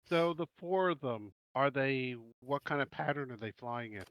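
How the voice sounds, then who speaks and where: A man's voice: mid-range voice, expressive, moderate pace, no room sound, muffled recording. A man talking casually as a player in a tabletop game.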